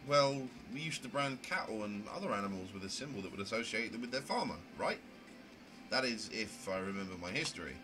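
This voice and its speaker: A man's voice: lowish voice, expressive, a little fast, close to an everyday voice. A man voicing a naive, chatty, prim young newcomer who narrates a visual novel in a wordy, rambling way. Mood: curious, rambling. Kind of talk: amateur visual novel voice acting, first-person narration. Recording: music under the voice. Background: music.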